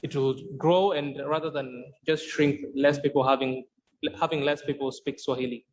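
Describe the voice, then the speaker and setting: A man's voice: medium pitch, steady tone, normal speed. A man explaining how he teaches Swahili in a podcast interview, earnest and often restarting sentences as he searches for words. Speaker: Swahili course creator and instructor. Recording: dull lo-fi sound with faint noise.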